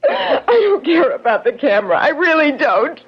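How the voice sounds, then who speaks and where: A woman's voice: high-pitched, huge pitch swings, moderate pace. An actress playing a bubbly young woman, a man's sweetheart, in a 1970s radio drama. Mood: terrified, sobbing. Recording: dull, noisy 1970s radio broadcast recording.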